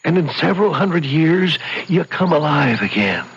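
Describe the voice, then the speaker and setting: An actor playing a raving, insistent old man with grand schemes in a 1970s radio drama, his voice creaky. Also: on the high side, very animated, fairly bright tone, exaggerated voice. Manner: halting, insistent explaining. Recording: muffled vintage radio broadcast, faint hiss.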